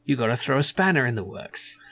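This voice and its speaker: A man's voice: mid-range voice, animated, normal speed, vocal fry, a bit bright, a little hiss or hum. A man giving casual let's play commentary, thinking aloud in a wry, musing way. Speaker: video game streamer.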